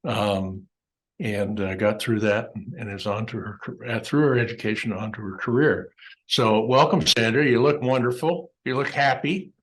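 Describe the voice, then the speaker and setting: A man's voice: lowish voice, fairly bright tone, poor audio. A man hosting a podcast interview over a video call from his home office, rambling with lots of fillers. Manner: rambling, halting, many fillers. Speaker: podcast host. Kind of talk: casual interview.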